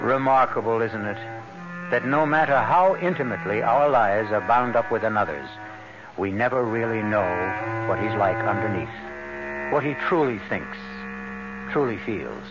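A man hosting a radio play with dramatic, portentous and deliberate scripted narration. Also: fairly low pitch, expressive. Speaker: host and narrator. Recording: muffled old radio broadcast. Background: music.

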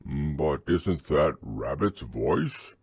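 A man voicing a slow, simple bear who loves food, speaking in a drawn-out, pondering way. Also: a bass voice, sing-song, gravelly, a little mellow, slightly rough, no room sound, voice pushed down, full character voice. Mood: puzzled.

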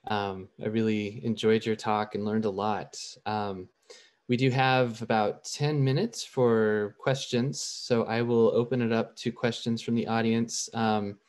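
A middle-aged man with a fairly low voice moderates the questions after an online lecture. He talks easily with small "um" fillers, through earbuds on a video call. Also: flat delivery, a little slow, a little mellow. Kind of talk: hosting a lecture Q&A.

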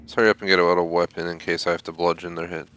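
A man's voice: low voice, little pitch movement, rapid-fire, poor audio. A young man giving casual commentary while playing a video game. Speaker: gamer doing a playthrough. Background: game sound and music.

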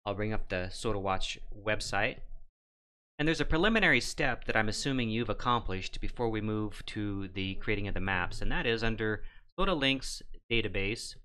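A middle-aged man hosting a how-to show, walking through steps in a plain, instructional and somewhat halting way. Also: lowish voice, expressive, bright, forceful tone, clear, resonant tone. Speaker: host of a ham radio how-to show. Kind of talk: step-by-step tutorial narration.

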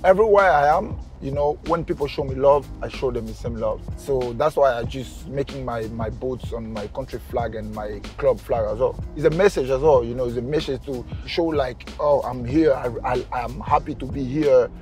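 Young man, relaxed and laughing, car interior, faint music. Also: mid-range voice, moderate pace, few pauses. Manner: relaxed, laughs often, simple phrasing. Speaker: footballer guest. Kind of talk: casual chat. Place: inside a moving car.

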